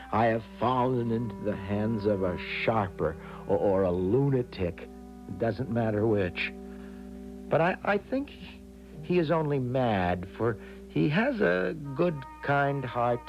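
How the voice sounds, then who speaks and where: A man's voice: mid-range voice, creaky, dull audio. An actor playing an anxious, gullible young man in a 1970s radio drama, hesitant, stammering and melodramatically self-pitying. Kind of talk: 1970s radio drama acting with first-person narration.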